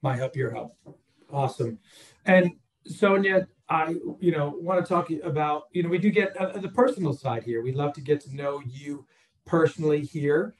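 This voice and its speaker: A man's voice: a little high, normal speed, echoey room. A man hosting a podcast, asking questions in a casual, hesitant way with many fillers. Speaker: podcast host. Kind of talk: casual interview chat.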